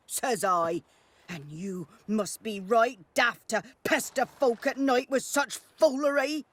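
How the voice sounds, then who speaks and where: An actress playing a chatty, indignant old peasant granny in a fantasy video game, with a rural British accent and a rambling, folksy way of telling things. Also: female voice, high-pitched, a bit bright, full character voice. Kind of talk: video game voice acting, storytelling.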